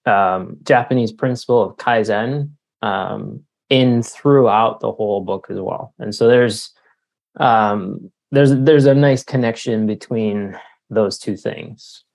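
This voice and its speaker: A man in his forties giving casual, halting, self-deprecating answers as an author being interviewed. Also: fairly low pitch, vocal fry, a little mellow. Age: 40s. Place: remote call.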